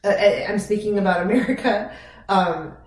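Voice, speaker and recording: A woman from Iran co-hosts a conversational podcast, pressing her points with rhetorical questions and repeated words. Her voice sits at an ordinary pitch and carries a noticeable room echo. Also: moderate pace. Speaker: podcast co-host. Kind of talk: casual conversation.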